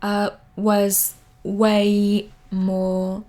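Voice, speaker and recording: A woman around thirty, not a native English speaker, giving earnest advice to camera, hesitant with many pauses and restarts. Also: mid-range voice, monotone, dark tone. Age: 30s. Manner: earnest, repeats words for emphasis. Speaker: vlogger. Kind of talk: casual advice talk to camera. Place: living in London.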